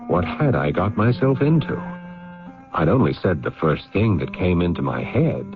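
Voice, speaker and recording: An actor playing a worried, placating brother in a radio drama, hesitant in dialogue and narrating the eerie family tale. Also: male voice, low-pitched, big pitch swings, moderate pace, faint music behind. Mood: uneasy, reflective. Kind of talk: radio drama acting and first-person narration. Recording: muffled vintage radio drama recording.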